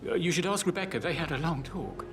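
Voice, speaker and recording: Fussy, pompous older professor. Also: male voice, fairly high pitch, animated, fast, vocal fry. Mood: exasperated. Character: stuffy, put-upon male professor, exasperated and sardonic. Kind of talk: scripted video game dialogue. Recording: music behind. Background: music.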